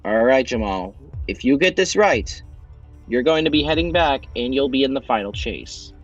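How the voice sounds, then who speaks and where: A man hosting a quiz show, reading questions with drawn-out pauses for suspense. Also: mid-range voice, animated, lo-fi sound, soft background music. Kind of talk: hosting a voiced game show on a video game platform.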